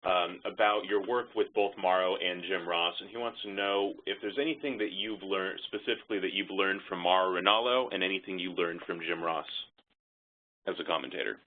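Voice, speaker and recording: A man's voice: low-pitched, fairly quick. A man moderating a press conference call, relaying questions sent in by journalists. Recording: noisy, tinny phone line with clicks.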